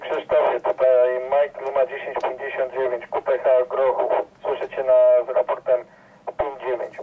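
A man's voice: medium pitch, completely flat delivery, normal speed, slight background noise. A man talking as a radio operator in a casual two-way exchange, heard through a radio. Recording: tinny, narrow-band radio speaker sound.

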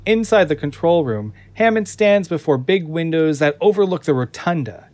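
A man's voice: fairly high pitch, lively intonation, moderate pace, darkish tone. A man reading scripted narration for an audio drama. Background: music.

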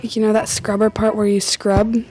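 A woman's voice: mid-range voice, monotone, a little fast, darkish tone, slight background noise. A teenage girl chatting casually and rambling with friends, giggling often, at a desk mic in a small office studio. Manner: giggly, rambling with many likes. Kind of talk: casual chat among friends. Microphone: desk podcast mic with headphones.

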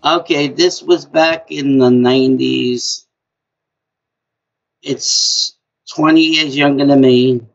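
A middle-aged man with a high-pitched voice hosting a live-stream trivia game from a small room at home, talking slowly and repeating himself in a chatty way. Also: fairly even intonation, creaky, muffled recording, faint music behind. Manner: slow, repetitive, chatty.

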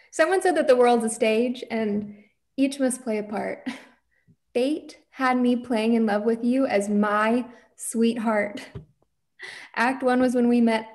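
Young woman playing defiant wannabe cowgirl, kinda high voice, earnest, reading a film script. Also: slow pace, a little mellow. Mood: wistful, theatrical. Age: young adult. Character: earnest, defiant girl who dreams of being a cowboy. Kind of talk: acted table read of a film script. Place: room at home, on video call.

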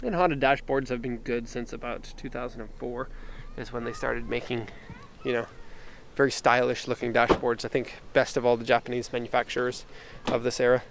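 A middle-aged man giving a casual, unscripted car walkaround, relaxed and thinking aloud, recorded on a handheld camera. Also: medium pitch, fairly quick. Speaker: car exporter presenting a vehicle. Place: outdoors by a parked car and inside it. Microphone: handheld camera microphone. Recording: outdoor handheld camera, clear ambient noise.

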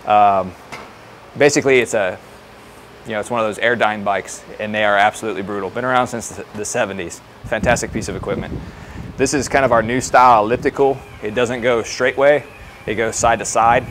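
A man's voice: on the low side, fairly even intonation, a little fast, a bit bright. A man in his thirties talking casually and explaining things as a trainer showing colleagues around a facility. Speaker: fire department fitness trainer giving a facility tour. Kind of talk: informal walk-through presentation to colleagues. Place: indoor gym with hard floors. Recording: clear background noise of a gym.

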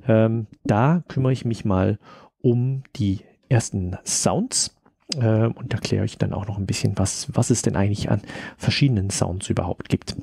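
Ein Mann kommentiert locker ein Tutorial und denkt beim Arbeiten zögernd laut mit. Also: male voice, lowish voice, normal speed, creaky, mellow, breathy edge, no room sound, studio quality. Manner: hesitant, thinking aloud while working. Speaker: tutorial presenter, audio drama editor. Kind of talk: casual screen-recorded tutorial commentary.

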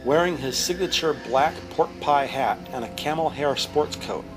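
A man's voice: a little high, moderate pace, poor audio. Male drama narrator over music. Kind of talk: scripted audio drama narration, descriptive. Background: prominent music.

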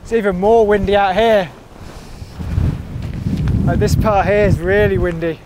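A man's voice: sits high, steady tone, unhurried. A man, chatting casually to camera while camping outdoors. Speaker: wild camping vlogger. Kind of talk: casual outdoor vlog chat. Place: outdoors on exposed moorland, in and around tent. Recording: windy outdoor recording, loud wind noise.

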